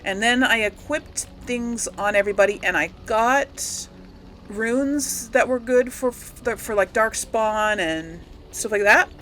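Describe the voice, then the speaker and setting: A woman's voice: a little high, slow pace, fairly bright tone, slight background noise. A woman giving casual, rambling let's-play commentary to viewers, thinking aloud as she goes. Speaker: gaming video host.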